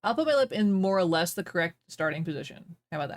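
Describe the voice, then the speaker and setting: A woman's voice: fairly low pitch, big pitch swings, fast. A woman streamer chatting casually, giggly and playfully instructive as she talks. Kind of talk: casual chat.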